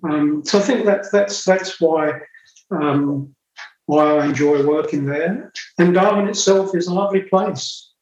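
A man's voice: on the high side, unhurried, reverberant, muffled recording. A man from England with a Cockney and Essex accent, a psychiatrist answering interview questions hesitantly with many fillers and repeated words. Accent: Cockney and Essex English. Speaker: psychiatrist guest.

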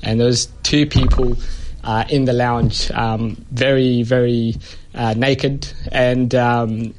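A man's voice: mid-range voice, little pitch movement, slow, noisy background. A man from Ethiopia, a comedian guest chatting casually and telling stories with lots of fillers and laughter. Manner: chatty storyteller, many fillers, laughs often. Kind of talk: casual anecdotal interview chat.

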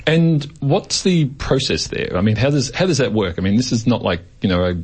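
A man's voice: mid-range voice, big pitch swings, fairly quick, a little mellow, breathy edge. A man hosting a radio interview, framing his questions hesitantly and often restarting words. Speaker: radio host.

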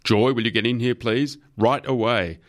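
A man's voice: a bass voice, a little fast, dark tone, no echo, studio quality. A man voicing a slippery male law-firm boss in an audiobook, curt and dismissive. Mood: irritated.

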